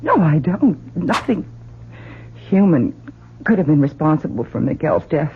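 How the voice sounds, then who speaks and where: A woman's voice: low-pitched, sing-song, unhurried, heavily acted. An actress playing a fretful, doting middle-aged wife and mother in an old-time radio drama, emotional and fussing. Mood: anxious, insistent. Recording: tinny, noisy old radio recording.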